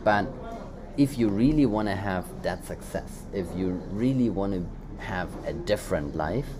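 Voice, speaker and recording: A man's voice: low voice, lively intonation, unhurried. A man whose first language is German, co-hosting a casual motivational podcast and talking in loose, rambling sentences full of restarts. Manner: rambling, many restarts and fillers. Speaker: podcast co-host. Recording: background noise.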